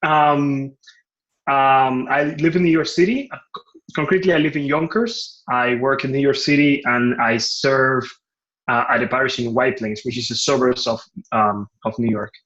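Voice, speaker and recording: A young man with a Cuban Spanish accent talks at a middle pitch, reflecting carefully and pausing to find the right words. He is a native Spanish speaker doing pastoral care work. The audio is slightly muffled and dull. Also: a bit bright. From Cuba. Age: young adult. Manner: thoughtful, searching for words. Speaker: lay pastoral care worker. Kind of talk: reflective personal interview monologue.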